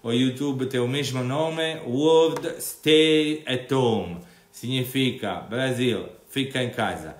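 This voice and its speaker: Brief: Italian-accented male host, casual and halting, recorded in an echoey room. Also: mid-range voice. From Italy. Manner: hesitant, restarts often. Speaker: interviewer and channel host. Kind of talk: casual online interview.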